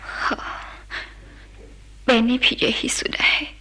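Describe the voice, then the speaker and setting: An actress playing an anxious young wife and daughter-in-law in a radio drama, speaking haltingly and tentatively. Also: female voice, pushed and bright, whispered. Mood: regretful.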